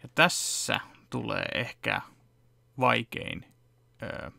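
A man's voice: medium pitch, gravelly, no room sound. A young man casually explaining his fan dubbing work step by step at his home desk, talking close to the camera. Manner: relaxed, explaining step by step with fillers. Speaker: vlogger and fan dubber. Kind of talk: casual behind-the-scenes chat. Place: home room at a computer desk.

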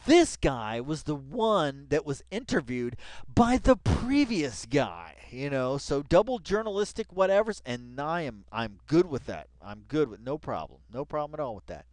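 Smooth, higher-voiced man rambling casually. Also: moderate pace, no room sound. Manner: rambling, excitable, repeats phrases for emphasis, swears casually. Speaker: video commentator. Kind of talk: casual read-along commentary of a web article.

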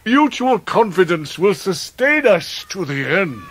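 Pompous broke english gent in a radio play, florid and theatrical, voice swings all over. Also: male voice, sits high, big pitch swings, unhurried, fairly bright tone, full character voice. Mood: rapturous. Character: grandiloquent, effusive, impoverished middle-aged gentleman. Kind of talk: radio drama acting.

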